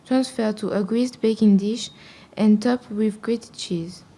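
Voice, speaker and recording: A woman's voice: mid-range voice, fairly even intonation, slow, dark tone. A teenage girl reading cooking steps aloud for a school radio show. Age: teens.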